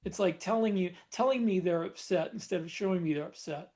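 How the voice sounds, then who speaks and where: A man's voice: sits high, fairly quick, darkish tone. A man co-hosting a casual podcast, explaining his experience thoughtfully, step by step. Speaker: podcast co-host. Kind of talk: casual panel chat.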